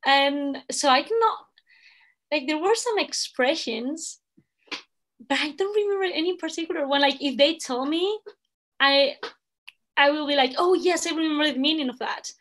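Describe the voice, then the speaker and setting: A woman's voice: high voice, moderate pace, fairly bright tone. A young woman from Spain with a Spanish accent, chatting on a video call, hesitant with many fillers. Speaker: guest language assistant. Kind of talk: casual video call chat. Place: indoor room at home. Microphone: laptop webcam video call.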